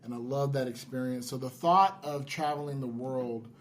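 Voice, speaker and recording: Halting, self-deprecating male podcast host. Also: mid-range voice, fairly even intonation. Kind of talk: casual podcast storytelling. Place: in-person podcast recording in Las Vegas.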